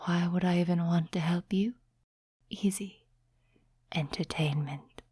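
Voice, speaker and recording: A woman voicing an ancient, seductive demon in whispered ASMR roleplay, slow, teasing and condescending, with a breathy voice close to the microphone. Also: on the low side, mellow, dry recording. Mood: bored, wry. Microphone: close, dry microphone.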